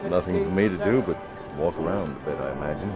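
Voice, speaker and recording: A man's voice: very deep voice, fast talker, over loud music. An actor playing a courteous, easygoing newspaper publisher in an old radio drama, a genial man. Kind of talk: 1940s radio acting. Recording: muffled old radio recording.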